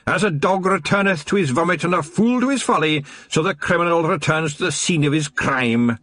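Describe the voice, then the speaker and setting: A man's voice: on the high side, a little fast, a bit bright, dry recording, studio quality, pitched above the performer's own voice, close to an everyday voice. A man voicing a tiny Scottish fairy watchman, stubborn, earnest and easily indignant. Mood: smug.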